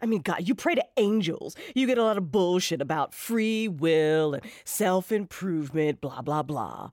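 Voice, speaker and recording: A woman's voice: lowish voice, lively intonation, moderate pace, a little mellow, dry recording, clean studio sound, natural voice. A woman playing a possessed, evil mother, prim, scolding and condescending, in a horror-comedy audio drama. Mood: smugly condescending. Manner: sickly-sweet, scolding.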